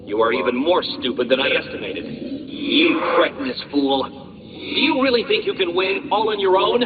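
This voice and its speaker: An actor playing an arrogant, condescending genius villain in a video game, with a pompous, sneering, wordy delivery. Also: male voice, on the high side, lively intonation, moderate pace, narrow, tinny audio, background noise, close to an everyday voice. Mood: scornful.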